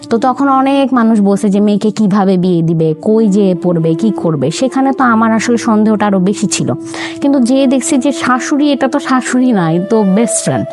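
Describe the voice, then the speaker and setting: A young woman from Chittagong telling her life story in an interview, earnest and grateful, often using religious phrases. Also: medium pitch. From Chittagong, Bangladesh. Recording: background music under speech.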